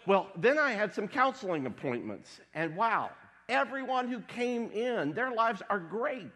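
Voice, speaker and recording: A man preaching a sermon as pastor, congested from a cold, with a wry, deadpan humor. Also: high voice, measured pace. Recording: long hall reverb.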